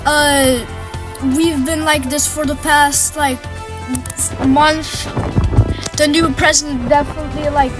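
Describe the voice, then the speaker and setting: Amateur female anchor, high voice, hesitant improvised newscast, loud music underneath. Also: level tone. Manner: halting, hesitant delivery. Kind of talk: improvised role-play newscast.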